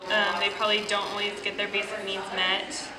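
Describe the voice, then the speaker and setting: A woman answering flatly and hesitantly in an interview. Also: medium pitch, fairly quick. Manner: flat, hesitant. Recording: distant mic in a reverberant hall with loud crowd.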